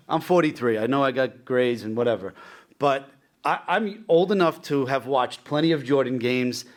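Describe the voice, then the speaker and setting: A man's voice: mid-range voice, normal speed. A man in his forties hosting a school podcast interview, talking eagerly like a diehard fan, with frequent restarts. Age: 40s. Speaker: podcast host. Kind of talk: casual interview chat.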